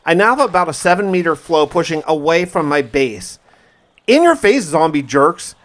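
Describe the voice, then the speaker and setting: A man with a slightly high voice narrates a video game as a survival diary. His delivery is theatrical and emphatic, and he stretches words out for drama. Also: very animated, normal speed. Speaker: gaming video host.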